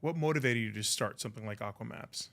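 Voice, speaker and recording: A man's voice: medium pitch, creaky, a bit bright. Enthusiastic male podcast host. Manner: enthusiastic, quick reactions. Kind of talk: podcast interview.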